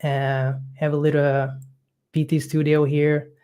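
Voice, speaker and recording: A man's voice: on the high side, completely flat delivery, warm tone, clean tone. Guy coach, young, lots of ums and uhs, casual chat. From Hungary. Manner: halting, many fillers, non-native phrasing.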